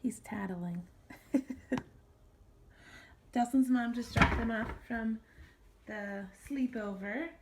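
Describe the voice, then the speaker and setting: A woman's voice: fairly high pitch, slow pace, pauses often, noisy background. A woman chatting casually in a playful family vlog. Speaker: family vlogger.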